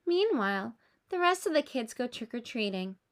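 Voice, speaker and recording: A woman reading a children's story aloud with expressive narration aimed at young listeners. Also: fairly high pitch, normal speed. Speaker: storybook reader.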